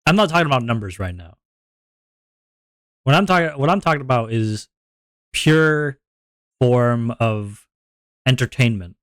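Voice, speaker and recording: Deep-voiced man rambling to his stream. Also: lively intonation, a little slow, long pauses, fairly bright tone, no echo, clean studio sound. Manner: rambling, self-reflective, repeats words. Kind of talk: casual chat to stream viewers.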